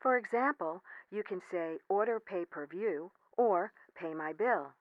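A woman's voice: fairly low pitch, dry recording, close to an everyday voice. Woman acting as relentlessly polite automated phone menu, dull line. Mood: polite, unruffled. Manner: measured, chirpy, formulaic customer-service delivery. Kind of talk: scripted automated phone prompts in audio comedy. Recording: band-limited telephone line sound.

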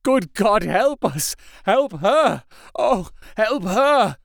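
A man's voice: on the high side, normal speed, creaky, no room sound, clean studio sound. A man voicing a desperate, horrified husband in a gothic audiobook, crying out urgently and begging for help. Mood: desperate pleading. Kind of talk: dramatic gothic audiobook character voice.

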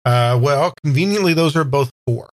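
A man's voice: on the high side, normal speed. A man playing a tabletop game, casually improvising a description of what the character does.

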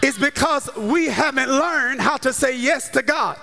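An American man preaching a sermon with an emphatic, repetitive, call-and-response cadence, often rising into shouts. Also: very high voice, a little slow, creaky, over a noisy crowd, heavy background noise. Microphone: handheld or stage microphone. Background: music under the voice.

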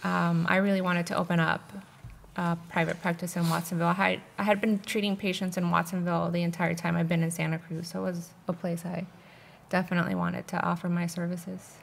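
A woman who grew up in Miami answering interview questions in a calm, matter-of-fact way. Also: fairly low pitch, brisk, gravelly. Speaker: physical therapist.

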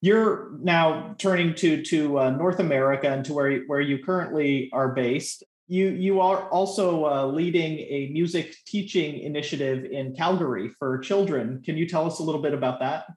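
A man interviewing a guest, thoughtful and halting as he frames his questions. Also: a little high. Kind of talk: conversational interview. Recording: echoey room.

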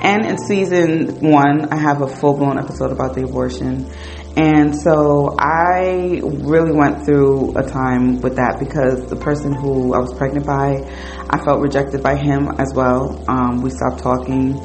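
A woman's voice: rumbling low, steady tone, measured pace, a little mellow, low-quality recording. Candid woman telling her story over music. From New Jersey, United States. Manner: candid, conversational, lots of fillers. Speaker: podcast host. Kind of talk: personal storytelling monologue. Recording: music playing behind the voice.

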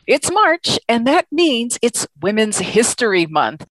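A woman's voice: on the high side, big pitch swings, slow pace, fairly bright tone, a little hiss or hum, rough recording. A woman hosting a travel show, reading a welcoming introduction and then asking her guest questions. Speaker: podcast host. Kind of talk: scripted introduction then interview.

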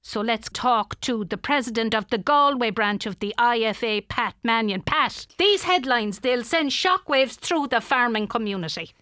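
Pompous Irish current affairs host, fairly high voice, close dry studio sound, radio comedy. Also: female voice, lively intonation, bright, forceful tone, no echo. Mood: self-important. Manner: brisk, interrupting, self-assured. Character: self-important current affairs radio presenter, woman. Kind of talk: comic radio play, talk show presenting.

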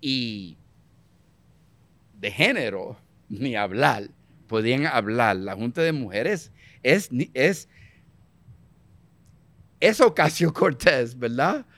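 A man's voice: mid-range voice, expressive, slow pace, pauses often, fairly bright tone, no echo. A man with a Puerto Rican Spanish accent, a guest in a political interview, talking emphatically, repeating himself and slipping into English phrases. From Puerto Rico.

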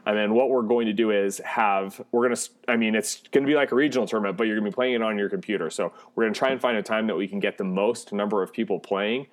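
A man with a fairly low voice hosts a gaming podcast. He talks in an upbeat, relaxed way, hyping an upcoming online tournament. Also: quick pace, few pauses. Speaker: podcast host. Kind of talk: casual podcast chat.

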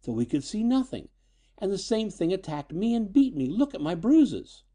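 A man voicing a frightened, high-strung but defiant young woman in a storybook reading, nervous and emotional. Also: big pitch swings, moderate pace, warm tone, breathy edge. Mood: shaken.

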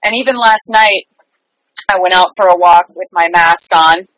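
A woman's voice: fairly low pitch, moderate pace, fairly bright tone, dry recording, low-quality recording. A middle-aged woman answering interview questions conversationally, speaking earnestly and repeating phrases for emphasis. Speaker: interview guest, life and business coach.

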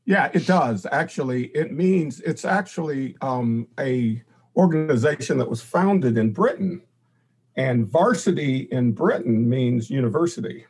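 An older man, a podcast guest, explaining in a halting conversational way and pausing to search for words. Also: medium pitch, lively intonation, a little mellow, husky.

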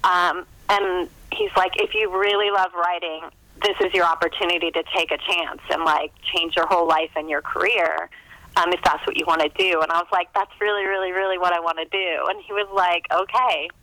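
A woman's voice: on the low side, steady tone, a little fast, sharp tone. A middle-aged woman talking in a casual, chatty, self-deprecating way, as an interview guest on a phone call. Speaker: author being interviewed.

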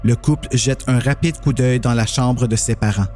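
Guy narrating a story, normal pitch, music clearly behind him. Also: moderate pace, warm tone.

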